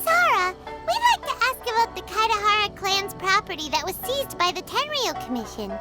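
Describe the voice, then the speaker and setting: A woman's voice: very high-pitched, big pitch swings, moderate pace, over loud music. A woman voicing a tiny, childlike fairy companion in a video game, perky, eager and direct. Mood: bright, inquisitive.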